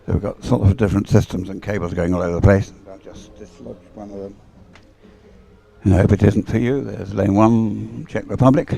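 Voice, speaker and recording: A man commentates live on a race in an unscripted, conversational style. His voice sits low and is mellow, with a lot of fry in it. He tends to hesitate and ramble as he describes what he sees. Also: fairly even intonation, fairly quick, low-quality recording. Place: outdoors beside the water at a regatta.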